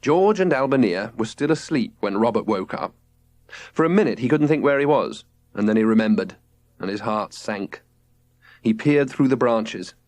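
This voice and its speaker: A man narrating a children's audiobook in an expressive, scripted storytelling style. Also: medium pitch, no echo.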